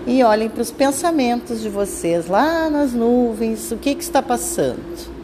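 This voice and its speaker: A woman with a Brazilian accent leading a guided meditation in a calm, soothing, instructing manner with drawn-out phrases. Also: fairly high pitch, background noise.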